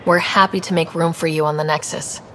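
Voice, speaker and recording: An actress playing an earnest, resolute young human space explorer in a video game, with a natural conversational delivery. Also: female voice, low voice, fairly even intonation, brisk, a bit bright, slight background noise. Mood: confident. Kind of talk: dramatic game voice acting. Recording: music under the voice.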